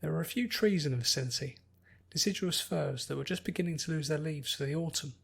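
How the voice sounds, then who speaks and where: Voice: mid-range voice, fast talker, creaky, fairly bright tone, breathy edge. A narrator reading scripted fiction as an audiobook.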